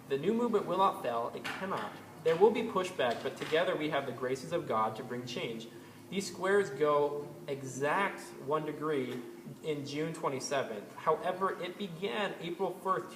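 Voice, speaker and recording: A man's voice: on the high side, a bit bright. A man hosting an astrology video, talking in a casual, rambling way with frequent ums and restarts. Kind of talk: casual spoken commentary. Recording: echoey room with faint ambient noise.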